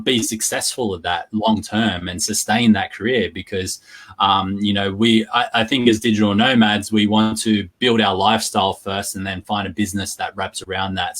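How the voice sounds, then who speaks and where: A man's voice: fairly low pitch, fairly bright tone. A man with an Australian accent, speaking conversationally as an interview guest and explaining his work. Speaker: interview guest, digital marketing entrepreneur. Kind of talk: conversational self-introduction and explanation.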